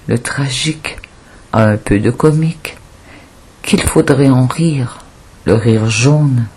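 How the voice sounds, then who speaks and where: A woman with a breathy, husky voice reciting poetry as an audiobook reader, in a solemn, deliberate manner with pauses. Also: rumbling low, warm tone.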